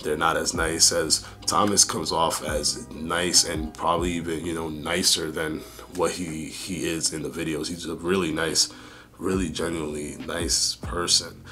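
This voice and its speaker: Young guy, voice shot and raspy, super deep and flat, rambly fitness vlog update. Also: a little slow, faint music behind. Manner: rambling with many fillers and restarts. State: hoarse, losing voice. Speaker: fitness YouTuber.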